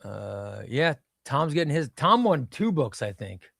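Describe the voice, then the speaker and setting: A man's voice: mid-range voice, very animated, measured pace, vocal fry, a bit bright, no echo, clean studio sound. A man from Illinois whose first language was Spanish, hosting a casual livestream and reading viewer comments in a rambling, offhand way with some swearing. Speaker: comic book seller and livestream host.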